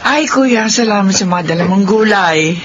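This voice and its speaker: Actress as gentle sickly mom, long-suffering, medium pitch, radio drama, dull audio. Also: female voice, lively intonation, moderate pace, natural voice. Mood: grateful. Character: ailing, long-suffering, gentle widowed mother. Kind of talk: Filipino radio drama acting. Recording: dull radio sound, faint noise.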